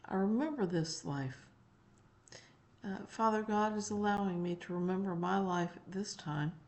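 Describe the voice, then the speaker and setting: Woman channeling a slow, profane old gentleman. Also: medium pitch, lightly acted. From North Carolina, United States. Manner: slow, emphatic, deliberate, profane. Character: profane, folksy elderly Southern gentleman former president. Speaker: spiritual channeler. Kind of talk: channeled monologue in character.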